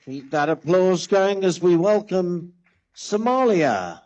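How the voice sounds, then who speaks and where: A man hosting an event, announcing the next speaker in a drawn-out, rousing way. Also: sits high, expressive, darkish tone, muffled recording. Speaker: event host. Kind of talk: stage announcing.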